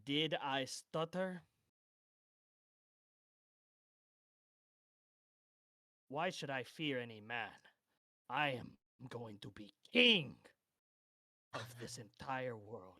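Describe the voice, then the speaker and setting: A man voicing an arrogant, smug knight with boundless ambition, speaking in a deliberate, theatrical way. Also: mid-range voice, sing-song, creaky, pushed and bright, no echo, studio quality, full character voice. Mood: haughty menace.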